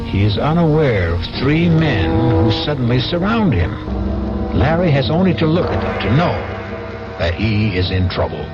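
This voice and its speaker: A male narrator reads a radio mystery in a measured, dryly wry way. His delivery rises and falls with strong expression, and a loud music bed runs under this old broadcast. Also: mid-range voice. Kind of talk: scripted dramatic narration.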